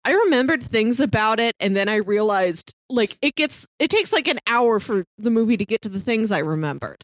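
A woman's voice: fairly high pitch, lively intonation, fairly quick, no echo. A woman co-hosting a podcast, talking through films in a casual, thoughtful, conversational way. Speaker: podcast co-host. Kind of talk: casual movie discussion.

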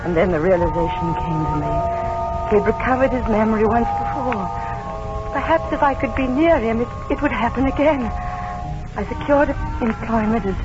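A woman's voice: on the high side, close to an everyday voice. An actress playing a devoted, lovelorn young secretary in an old radio drama, speaking earnestly and emotionally as she tells her story. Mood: wistful, yearning. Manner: earnest, emotional, halting when flustered. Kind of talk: 1940s radio drama acting with first-person narration. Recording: muffled old radio recording with music behind.

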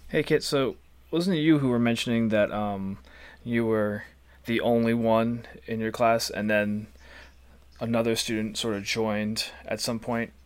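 A man's voice: mid-range voice, steady tone, a little slow, clean tone. A man hosting a casual interview, friendly and asking follow-up questions. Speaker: podcast host.

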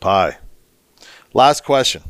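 A man hosting a casual interview, chatty and folksy, firing off quick questions and encouraging replies. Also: mid-range voice, animated, fairly bright tone, smooth, no echo, studio quality. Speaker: podcast host.

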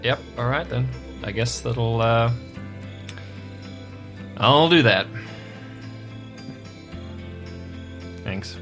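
Guy acting defeated young dude blaming himself, halting, sharp bright voice, bit of music. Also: mid-range voice, expressive. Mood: resigned. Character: dejected, self-blaming young male worker, casual and defeated. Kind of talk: improvised audio drama.

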